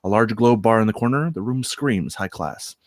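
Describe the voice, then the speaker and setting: A man reading screenplay stage directions aloud as narrator, with deliberate dramatic emphasis. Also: on the low side, no room sound, clean studio sound.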